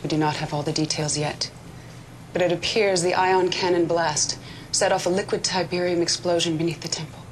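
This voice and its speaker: An actress playing a stern, zealous military commander in a video game cutscene, speaking with grave urgency. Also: female voice, lowish voice, steady tone, a little fast, a little hiss or hum, close to an everyday voice. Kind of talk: dramatic game cutscene acting.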